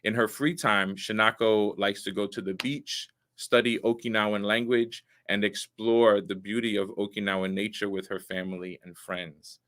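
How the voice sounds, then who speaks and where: A man's voice: fairly low pitch, steady tone, fairly bright tone. Earnest male talk show host. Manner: earnest, measured, with frequent pauses and fillers. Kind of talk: hosting a live online talk show.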